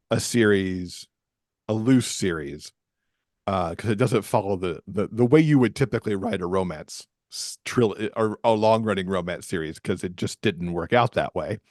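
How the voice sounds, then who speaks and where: Low-voiced American man chatting, dry close sound. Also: unhurried, a little mellow, no room sound. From Michigan, USA. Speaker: podcast guest, romance author. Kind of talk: casual conversational interview.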